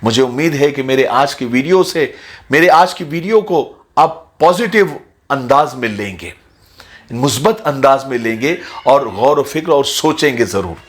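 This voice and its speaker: A man giving an earnest, measured address to his viewers as a religious motivational speaker, in formal wording. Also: mid-range voice, lively intonation. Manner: measured, appealing, formal vocabulary.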